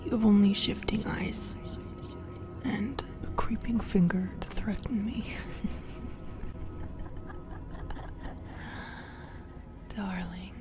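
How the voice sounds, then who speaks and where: A sinister female sleep paralysis demon played by a woman whispers in a breathy, intimate voice. She speaks very slowly, teasing and possessive, soothing while she menaces. Music plays clearly behind this ASMR horror roleplay. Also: mid-range voice, close to an everyday voice. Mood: menacing tenderness. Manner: slow, intimate, menacingly tender.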